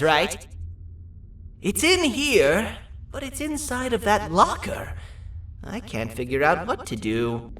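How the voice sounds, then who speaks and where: Casting: jittery jester-like mascot for a horror game, slow and hesitant, pitch swooping all over, guilt-ridden. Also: a bit bright, far from the mic, full character voice. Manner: hesitant, apologetic, erratically swooping. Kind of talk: video game character dialogue. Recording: echoey, off-mic game audio.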